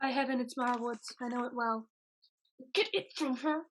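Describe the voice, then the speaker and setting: A woman's voice: on the high side, normal speed, lightly acted, rough recording. A woman playing a scheming young lady's maid in a theatrical reading of a Restoration comedy. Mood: urgent scheming.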